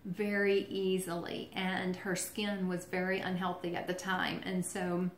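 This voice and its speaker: A woman's voice: lowish voice, monotone, measured pace, roomy sound, slight background noise. A woman giving a casual how-to talk in a chatty, friendly way, with lots of ums and restarts. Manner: chatty, friendly, filler-heavy explaining. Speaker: essential oils presenter.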